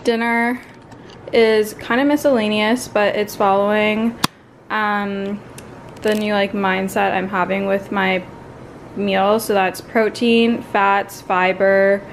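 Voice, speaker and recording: A woman's voice: mid-range voice, fairly even intonation, takes it slowly, gravelly, slight background noise. A woman, chatting casually to camera in an informal, meandering way, as if thinking aloud. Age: 20s. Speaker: lifestyle vlogger. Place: home apartment kitchen, sometimes street. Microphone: handheld or propped camera.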